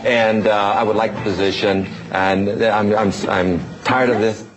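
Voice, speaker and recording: A man playing as a contestant in a radio game, fumbling and hesitant, with many pauses. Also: lowish voice, fairly even intonation, very noisy.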